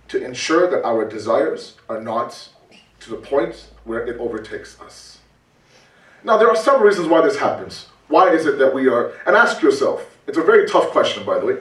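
A man giving a conversational religious talk, animated and full of rhetorical questions and repetition. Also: medium pitch, normal speed. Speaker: preacher. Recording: roomy, distant mic.

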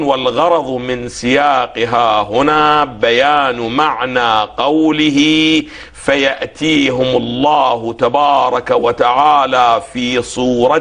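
Hoarse man teaching religion on TV. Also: medium pitch, a bit bright. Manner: didactic, poses questions for the host to finish; slow, deliberate when reading quotations. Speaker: religious scholar guest. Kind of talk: televised religious lecture.

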